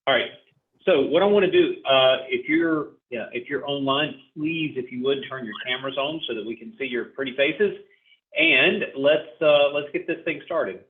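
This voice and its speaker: A man's voice: mid-range voice, moderate pace. A man hosting a sales training class over a video call, upbeat and chatty as he calls on each participant. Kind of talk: casual group video call facilitation. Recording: tinny phone-like audio.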